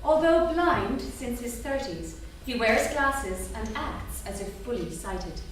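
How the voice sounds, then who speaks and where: A woman with a fairly high voice narrates a script in a theatre. The recording is distant and very echoey, with clear background noise. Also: lively intonation, slow pace. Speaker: stage-directions reader. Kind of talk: scripted narration at a staged reading. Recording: very echoey, noisy hall from far off.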